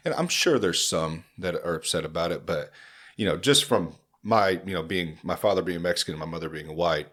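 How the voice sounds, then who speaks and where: A man with a very low voice hosts a casual podcast conversation. He talks earnestly and loosely, circling back with fillers and restarts as he works through his point. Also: fairly quick, fairly bright tone. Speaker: podcast host.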